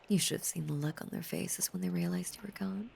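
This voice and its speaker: A woman playing a possessive, obsessive female werewolf in an intimate audio roleplay, half-whispering with slow, seductive menace. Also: deep voice, vocal fry, a little mellow, slight background noise, full character voice. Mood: scornful. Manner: slow, lingering, seductive delivery.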